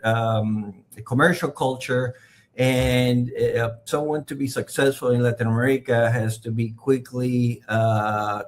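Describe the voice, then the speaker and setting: Measured Puerto Rican man answering interview questions. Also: medium pitch. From Puerto Rico. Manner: measured, pausing with fillers, non-native phrasing. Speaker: podcast guest.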